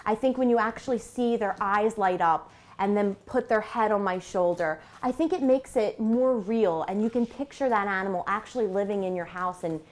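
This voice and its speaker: Woman, medium-pitched, conversational interviewee. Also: normal speed, hardly any pauses. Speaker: animal rescue organization president, interviewed.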